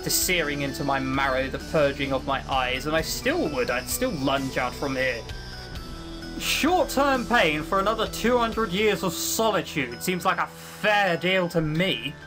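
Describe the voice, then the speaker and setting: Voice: medium pitch, lively intonation, unhurried, over music, close to an everyday voice. A voice actor playing an ancient fiend trapped in a circle for centuries, mocking and brooding, breaking into anguished outbursts, in a first-person audio roleplay.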